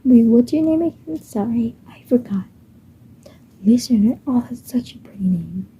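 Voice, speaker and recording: A woman's voice: a little high, lively intonation, moderate pace, warm tone, slight background noise, close to an everyday voice. A woman doing a bubbly young girl Emma. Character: cheerful eager eleven-year-old girl. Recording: dull muffled home recording.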